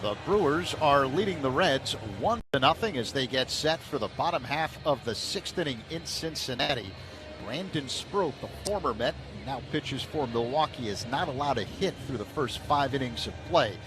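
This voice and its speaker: A man doing radio play-by-play of a baseball game, measured in tone and rising with the action. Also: medium pitch, animated, over music. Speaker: play-by-play announcer. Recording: broadcast with clear background noise.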